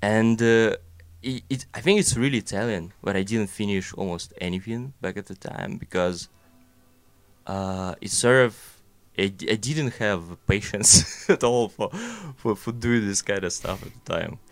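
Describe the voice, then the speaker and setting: A man's voice: on the low side, a little slow, smooth, dry recording. A young man with a Russian accent, chatting casually and rambling through his thoughts with many fillers and self-deprecating jokes. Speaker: animator guest. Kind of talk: casual conversation.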